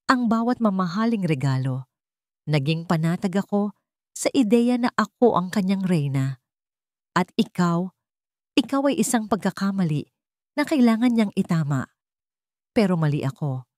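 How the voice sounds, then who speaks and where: A woman's voice: lowish voice, darkish tone, no echo, studio quality, lightly acted. A woman voicing a remorseful jailed mistress confessing earnestly in a letter, read as dramatic storytelling.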